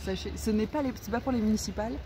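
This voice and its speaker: A woman's voice: mid-range voice, very fast. A woman chatting casually and playfully as a podcast host, recorded outdoors while walking along a street. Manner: playful, bantering. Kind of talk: casual chat. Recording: clear urban outdoor noise, passing traffic.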